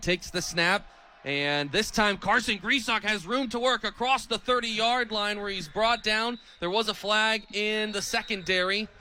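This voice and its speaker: A man in his twenties with an American accent calling football play-by-play on the radio in an energetic, descriptive way. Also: sits high. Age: 20s.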